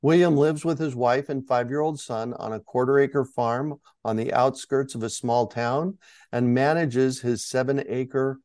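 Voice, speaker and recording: A man's voice: medium pitch, a little mellow, studio quality. Male podcast host in a roomy space. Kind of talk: scripted podcast introduction and interview.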